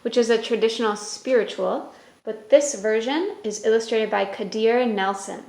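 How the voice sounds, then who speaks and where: A woman's voice: on the high side, moderate pace, vocal fry, a little mellow. A woman hosting a children's story time, reading aloud and explaining in a simple way. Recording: roomy echo with music.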